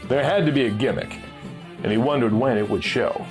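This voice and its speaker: A man's voice: medium pitch, a little fast. A man narrating a pulp adventure story from a script, as a storyteller reading aloud. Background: music.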